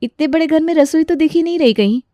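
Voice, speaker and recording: A woman voicing a bossy, chatty older mother-in-law who runs the household in an animated family story. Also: high-pitched, fast talker, dry recording, clean studio sound, voice pushed up, close to an everyday voice. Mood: puzzled, demanding.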